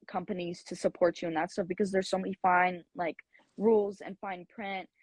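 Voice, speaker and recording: A woman's voice: fairly low pitch, no room sound, low-quality recording. Young American woman around twenty, college athlete guest, chatty with fillers, dry close recording. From United States. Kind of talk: casual interview answers.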